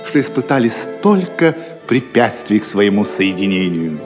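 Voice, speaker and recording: A male storyteller reads a scripted tale with very animated intonation. The voice rings with a long hall reverb, and music plays clearly behind it. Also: mid-range voice. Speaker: narrator.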